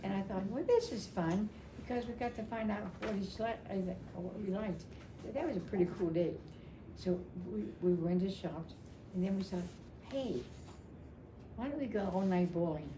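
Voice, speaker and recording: An older woman telling a personal story to a gathering in a chatty, halting way, repeating phrases as she goes. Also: mid-range voice. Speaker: storyteller at a family memorial. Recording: echoey room, clear background noise.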